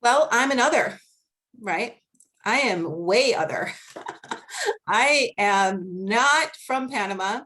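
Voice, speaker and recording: A woman with a Boston accent speaking as a guest researcher on a video-call panel, earnest and measured, laughing easily during questions. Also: fairly high pitch, expressive, sharp tone, a little hiss or hum. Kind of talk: academic panel talk and Q&A.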